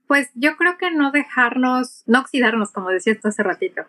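A woman's voice: high voice, lively intonation, a little fast, a bit bright. Middle-aged Mexican woman explaining conversationally. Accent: Mexican Spanish. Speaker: interview guest, pilot and aviation English instructor. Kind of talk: conversational interview answers.

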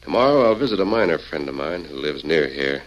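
An actor playing a calm, authoritative western hero in a radio drama, measured and commanding as he instructs. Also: a bass voice, lo-fi sound. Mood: calm, resolute. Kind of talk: old radio western acting.

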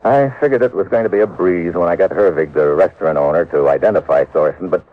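Actor as deep-voiced hard-boiled American radio agent. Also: male voice, fast talker, natural voice. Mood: frustrated, wry. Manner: terse, slangy, wry. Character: tough, hard-boiled male agent on a case, narrating his story. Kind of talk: classic radio drama acting with first-person narration. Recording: muffled vintage radio recording.

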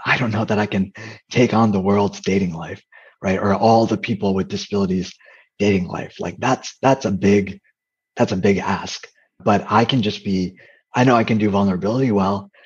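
Man, soft husky voice, fairly low, halting with restarts, muffled lo-fi sound. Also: steady tone. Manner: hesitant, many fillers and restarts. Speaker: interview guest, comedian and dating coach. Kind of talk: candid conversational interview.

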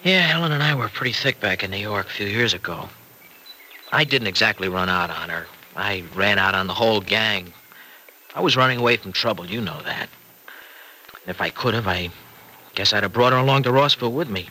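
An actor in an old-time radio drama playing a troubled, restless married farmer with a wayward past, speaking colloquially in halting, earnest confessions. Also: male voice, fairly low pitch, expressive, pushed and bright, poor audio. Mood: worried, confessing. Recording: vintage radio recording, faint noise.

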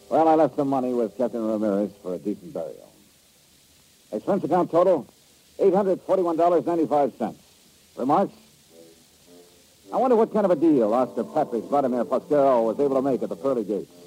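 A man's voice: medium pitch, close to an everyday voice, rough recording. An actor playing a wry, tough male insurance investigator who narrates and questions suspects in a 1950s radio drama. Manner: dry, wisecracking, lively delivery. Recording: old radio broadcast with music.

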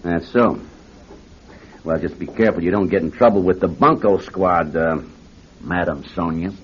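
A man's voice: low-pitched, normal speed, lightly acted. Man playing a smug vice cop, muffled radio. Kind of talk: old radio drama acting. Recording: muffled, faintly noisy old radio recording.

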